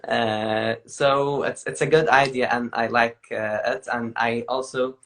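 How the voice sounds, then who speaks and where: Slow, halting male interview host. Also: mid-range voice, fairly even intonation, a bit bright. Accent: Emirati. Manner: halting, many filler pauses. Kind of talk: live interview show.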